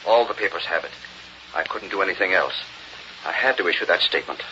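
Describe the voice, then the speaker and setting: An actor playing an anxious, pleading public official, halting and subdued, in a vintage radio drama. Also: male voice, sits low, lively intonation, pushed and bright. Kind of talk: 1930s-40s radio acting. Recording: muffled old radio recording, faint noise.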